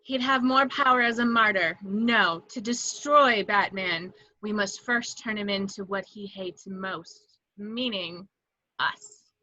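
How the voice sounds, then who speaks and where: A woman's voice: medium pitch, slow pace, pushed and bright, muffled recording. A woman playing a sly, vengeful villainess with a seductive, dry manner, in an amateur film script read. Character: sultry, vengeful, sly female cat-themed villainess.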